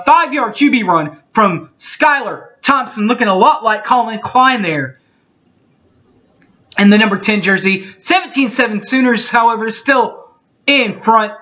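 A man in his thirties reading through postgame football stats from his bedroom, steady and methodical, with small slips he corrects as he goes. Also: high-pitched. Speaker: sports fan recapping a game. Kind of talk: webcam postgame stats recap. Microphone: webcam mic. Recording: dull lo-fi webcam audio.

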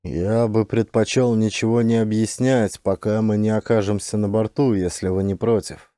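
A man's voice: on the low side, steady tone, normal speed, warm tone, no echo, studio quality, close to an everyday voice. A man playing a sober, decisive male major in a science fiction audiobook, firm and matter-of-fact. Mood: guarded.

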